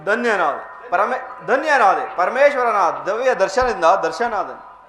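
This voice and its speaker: A man's voice: sits high, big pitch swings, measured pace, fairly bright tone, slight background noise, full character voice. A man playing a humble moon god who pleads in formal, impassioned mythological stage declamation. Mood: grateful, relieved. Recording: roomy echo with music behind.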